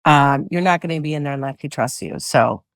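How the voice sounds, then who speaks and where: A middle-aged woman with a low voice, a singer-songwriter guest chatting loosely in an interview, full of fillers and restarts. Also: brisk, no echo, studio quality. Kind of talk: casual interview chat.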